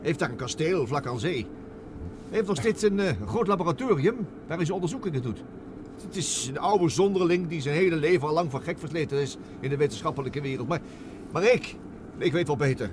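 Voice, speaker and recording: An actor playing an eager, stammering professor and inventor, on an old radio play tape with hiss. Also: male voice, on the high side, a little fast, natural voice. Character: excitable middle-aged male professor inventor. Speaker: professor Ben Curtis. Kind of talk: 1960s radio drama acting.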